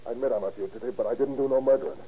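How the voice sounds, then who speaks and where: An actor playing a sullen, defiant escaped convict with a rough, stubborn way of talking in an old radio crime drama. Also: male voice, mid-range voice, very fast, close to an everyday voice. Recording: dull 1930s radio recording.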